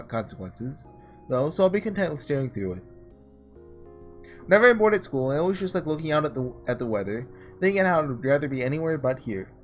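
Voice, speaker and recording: A man doing a casual let's play, reading story text aloud and breaking off into excitable asides, with game music behind him. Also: on the high side. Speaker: gaming video creator doing a blind playthrough.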